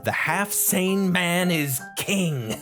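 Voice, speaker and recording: A woman's voice: lowish voice, expressive, extremely slow, a bit bright, full character voice. A woman playing a sardonic, foul-mouthed, fed-up human man in a comedic audio story. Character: exasperated foul-mouthed human man among talking horses. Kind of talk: character acting in a comedic audio story reading. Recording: prominent music behind the voice.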